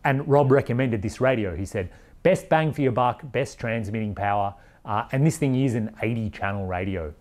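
A man's voice: fairly low pitch, moderate pace, a little mellow. A man in his forties from Australia explaining things in a casual vlog, frank and plain-spoken. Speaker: vlogger, overland traveller. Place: indoors in a workshop garage, and seated in a vehicle.

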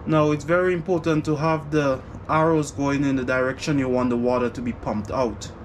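A man's voice: mid-range voice, fairly quick, slight background noise. Man explaining a DIY basement job. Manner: relaxed step-by-step explaining. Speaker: home renovation vlogger. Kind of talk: casual how-to narration. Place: unfinished basement indoors.

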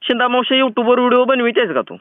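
A man's voice: very high voice, animated, brisk, clean tone, no room sound. A man with a rural Maharashtrian Marathi accent chats casually and insistently as a teasing prank caller, in colloquial speech over a tinny phone-like recording. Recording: tinny, phone-like band-limited sound.

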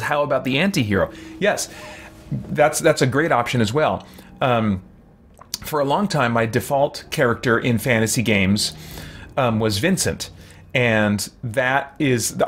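A man's voice: medium pitch, a bit bright. A man in his forties giving casual, reflective, rambling gameplay commentary as a streamer. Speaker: game streamer. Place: small room with shelves, indoors. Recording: game ambience as clear background noise.

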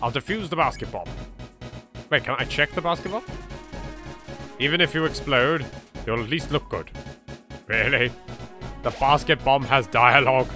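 A man's voice: medium pitch, normal speed, pauses often. An English man giving dry, sarcastic commentary over a game he plays. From England. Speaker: let's play voice actor. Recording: game music behind.

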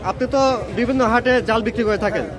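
A man's voice: extremely high pitch, rapid-fire. A man vlogger interviewing a street vendor, politely repeating each answer back in a casual chat. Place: outdoor market, off camera. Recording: loud crowd noise outdoors. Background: busy crowd and vehicles.

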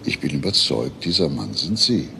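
An actor playing a calm, persistent police detective who questions pointedly in a German crime drama. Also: male voice, rumbling low, moderate pace, creaky, full character voice. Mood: matter-of-fact. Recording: very reverberant hall, faint noise.

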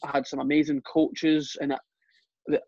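A man's voice: fairly high pitch, a little slow, dry recording. A young Scottish man, a soccer coach, chatting casually as an interview guest, with many fillers and restarts. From Scotland. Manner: relaxed, with frequent fillers and restarts. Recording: dull, lo-fi sound.

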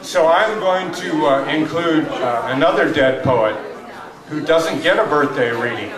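Slow older man reading poetry live. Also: fairly high pitch, lively intonation, a crowd in the background. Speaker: poetry reader at an open mic. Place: small tavern stage indoors. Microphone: stand microphone, captured from far camera. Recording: roomy live tavern, distant mic, audience noise.

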